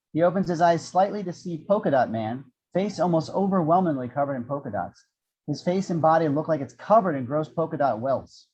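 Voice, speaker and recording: A man's voice: fairly high pitch, darkish tone, dull audio. A man reading action descriptions as the narrator of an amateur screenplay table read.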